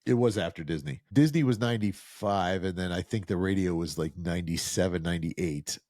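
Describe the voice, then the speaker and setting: A man's voice: low voice, brisk, a little mellow, no room sound, studio quality. A middle-aged man from New Jersey, a podcast co-host telling long, self-mocking stories in casual chat and quoting people in put-on voices.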